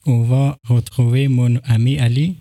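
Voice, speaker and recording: Dark-voiced Afghan man narrating carefully. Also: mid-range voice, steady tone. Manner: careful learner's French with pauses and fillers. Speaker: host presenting his neighbours. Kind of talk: narrated documentary vlog.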